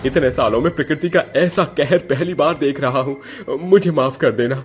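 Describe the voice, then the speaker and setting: A man's voice: fairly high pitch, moderate pace, voice pushed up, lightly acted. A salesy cartoon boatman, voiced by a man, talks in a raised, folksy tone. His manner is pushy, with music faint in the background. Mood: panicked. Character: cheerful middle-aged male boatman, later panicked.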